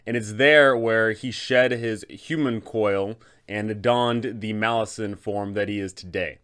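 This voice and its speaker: A man's voice: fairly low pitch, steady tone, measured pace, fairly bright tone, clean tone. A man hosting a guide for game masters, delivering scripted narration about a fantasy tabletop game. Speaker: host, game master giving advice.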